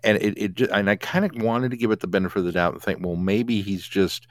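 A man's voice: on the low side, fast, no room sound, clean studio sound. A man co-hosting a podcast, casually reviewing in an opinionated way, often restarting his sentences. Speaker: podcast co-host.

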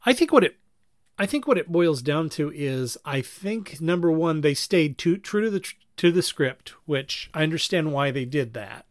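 A man hosting a casual podcast discussion, explaining things in a halting, rambling way and often correcting himself. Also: fairly high pitch, expressive, dry recording, studio quality. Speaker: podcast host.

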